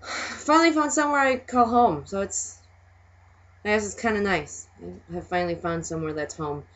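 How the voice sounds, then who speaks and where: Young minnesota gal hosting her vlog, chatty, laughs a lot, lowish voice, kinda muffled lo-fi audio. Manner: chatty, laughs often between remarks. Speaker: host. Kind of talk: casual video chat. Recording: dull lo-fi sound.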